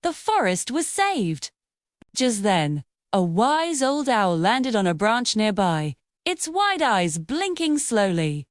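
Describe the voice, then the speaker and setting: A woman narrating a scripted children's bedtime story in an expressive, dramatic storytelling manner. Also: mid-range voice, takes it slowly, no room sound, clean studio sound.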